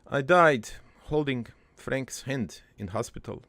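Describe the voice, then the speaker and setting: Man playing a gravelly, rambling old cartoon dad. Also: mid-range voice, no echo. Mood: sentimental. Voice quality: gravelly, creaky. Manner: rambling, halting storyteller with crude deadpan humour. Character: dead old ne'er-do-well father, crude, wistful, doting. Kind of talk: improvised first-person cartoon monologue.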